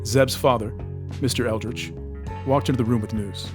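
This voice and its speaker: Male narrator over loud music. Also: mid-range voice, steady tone, normal speed. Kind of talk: scripted audio drama narration.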